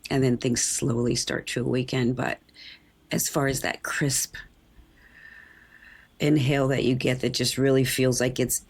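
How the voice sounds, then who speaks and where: Raspy-voiced woman with a morning rasp. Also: very deep voice, level tone, a little slow, pauses often. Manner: chatty, laughs easily, acts out quoted flowers. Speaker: podcast co-host. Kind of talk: casual chat.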